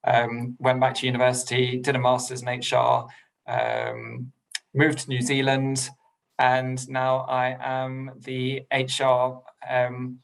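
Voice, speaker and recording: A middle-aged man from England speaks as a podcast guest, with a gravelly, creaky edge to his voice. His pitch stays flat and even, and he talks politely and self-deprecatingly, filling gaps with ums and small laughs. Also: slow, low-quality recording. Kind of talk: casual interview chat.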